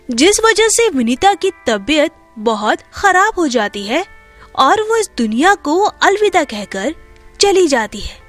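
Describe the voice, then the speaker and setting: A woman narrates a children's story in a very high voice. She takes her time with the scripted lines, over faint music.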